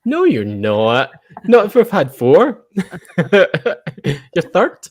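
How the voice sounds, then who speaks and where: A man hosting a podcast in a casual online chat, chatty, jokey and quick to laugh. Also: a little high, wildly swooping pitch, dry recording, studio quality. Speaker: podcast host. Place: online call.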